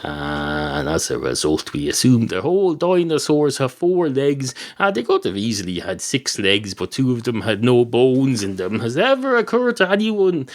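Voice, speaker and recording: A slightly hoarse man, hosting a podcast in a rambling, deadpan comic monologue full of "uh"s and swearing. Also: mid-range voice, moderate pace, few pauses. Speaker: podcast host.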